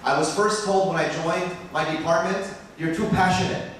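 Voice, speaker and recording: An American man, a biology professor, giving a passionate conference talk that turns animated as he makes his points. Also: moderate pace, a little hiss or hum. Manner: passionate, rising in pitch when animated. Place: conference hall. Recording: echoey hall from far off.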